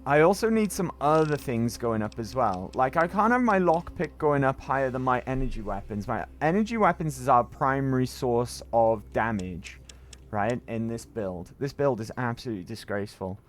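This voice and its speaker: A man with a croaky voice casually chatting to viewers while playing a game on a livestream, breaking into sudden exclamations. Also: mid-range voice, expressive, normal speed. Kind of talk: casual gameplay livestream chat.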